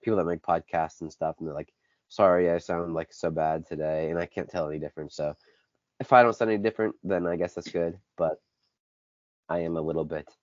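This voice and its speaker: Give me a congested teen guy with a low voice. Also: steady tone, a little fast, no room sound. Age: teens. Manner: rambling, self-correcting. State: congested, dry throat. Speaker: podcast co-host. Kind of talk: casual sports chat. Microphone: basic home podcast setup. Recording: dull lo-fi dry recording.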